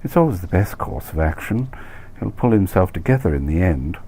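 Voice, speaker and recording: A man's voice: deep voice, sing-song, brisk, mellow, slightly rough, exaggerated voice. A man voicing a chatty, nosy middle-aged expat wife who dispenses worldly, condescending advice in a comic story reading. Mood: blasé, advising. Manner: gossipy, cliché-laden, condescending advice-giving. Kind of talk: acted dialogue in comic short story reading.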